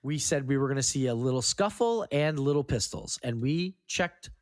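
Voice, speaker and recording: A man's voice: medium pitch, expressive, moderate pace, no room sound, clean studio sound. A man hosting a film podcast, talking in a casual, conversational way with some offhand swearing. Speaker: podcast host.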